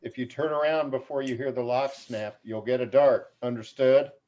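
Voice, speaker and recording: Man acting stern prison guard, very dark voice. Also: medium pitch, fairly even intonation, normal speed, close to an everyday voice. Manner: curt, barking orders. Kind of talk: screenplay table read. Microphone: video call. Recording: muffled video-call audio.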